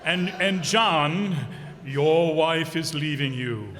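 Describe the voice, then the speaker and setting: A man's voice: fairly high pitch, slow, a bit bright, faint music behind. A man playing a pompous, sinister mystery narrator who intones tauntingly in a radio parody, recorded in an echoey hall. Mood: ominous, taunting.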